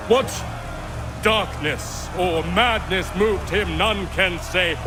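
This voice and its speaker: An actor playing a solemn, pompous colonial orator in a video game, declaiming grandly as if to a public gathering. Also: male voice, very high voice, noisy background. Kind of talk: dramatic video game acting.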